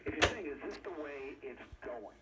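A man's voice: medium pitch, lively intonation, normal speed, crowd noise, rough recording. A man giving off-the-cuff sports commentary in a hesitant, stop-and-start way, heard through a radio speaker. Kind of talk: off-the-cuff baseball talk radio. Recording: played through radio speaker, faint noise.

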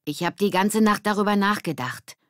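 Woman as an earnest, dreamy schoolgirl, pitched up. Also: moderate pace, a bit bright, no room sound, studio quality, close to an everyday voice. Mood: dreamy, dramatic. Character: imaginative, earnest young schoolgirl clarinet player.

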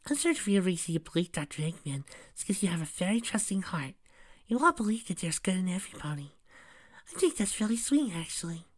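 A performer voicing a gentle, shy female pegasus pony in a soft, sweet, soothing voice. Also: mid-range voice, big pitch swings, fairly quick, no room sound, pitched above the performer's own voice. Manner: soothing, timid, kindly.

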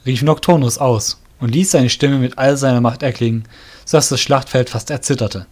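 A man narrating a scripted fantasy audio drama in a measured storybook manner. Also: medium pitch, a little mellow.

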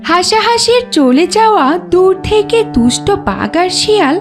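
High-voiced woman narrating children's tale. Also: sing-song, moderate pace, over music. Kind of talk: scripted children's story narration.

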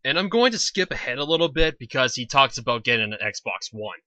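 A man with a very bright, lively voice talks over a clip in a dry, close-miked recording. His tone is mocking and skeptical, and he keeps asking pointed questions. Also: mid-range voice, brisk, dry recording. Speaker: commentary host. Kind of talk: sarcastic video commentary.

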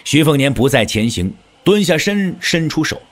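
A man's voice: a little high, normal speed. A man narrating a martial-arts story in a theatrical, scripted storytelling style. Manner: theatrical, expressive.

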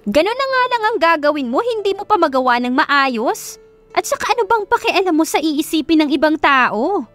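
A woman voicing a greedy middle-aged mother who scolds and belittles her daughter in a shrill, demanding manner for an audio love story. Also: very animated, moderate pace, a bit bright, soft background music, exaggerated voice. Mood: scornful scolding.

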